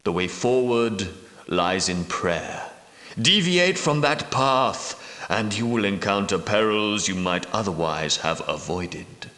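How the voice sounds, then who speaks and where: An actor playing the solemn, pious leader of ancient Grail knights in a video game, speaking in a grave, portentous, formal manner. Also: male voice, fairly low pitch, expressive, bright, forceful tone, exaggerated voice. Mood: stern warning. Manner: grave, portentous, measured, preaching. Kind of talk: scripted video game dialogue, archaic formal speech. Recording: echoey hall with long reverb.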